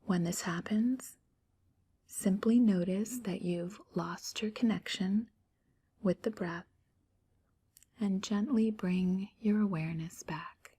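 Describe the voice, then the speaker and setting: A woman guiding a relaxation meditation in a soft, near-whispered, calm voice with gentle pauses. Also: mid-range voice, slightly rough. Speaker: meditation guide. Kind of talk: guided meditation.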